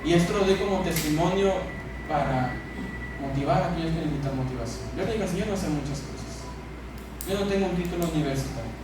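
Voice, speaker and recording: A man with a Mexican accent preaching a sermon as a pastor, speaking emphatically with repeated phrases and pauses for effect. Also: fairly high pitch. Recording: echoey church hall, distant mic, noisy.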